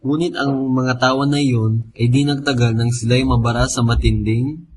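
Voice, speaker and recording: A man's voice: medium pitch, steady tone, moderate pace, slight background noise. A man narrating a scripted radio drama as its storyteller.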